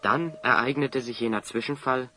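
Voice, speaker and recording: A calm, wise adventurer speaks in a bright, medium-pitched voice. He is courteous and assured, in a radio play over faint music. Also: moderate pace. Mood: grave, explaining. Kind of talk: German radio play acting.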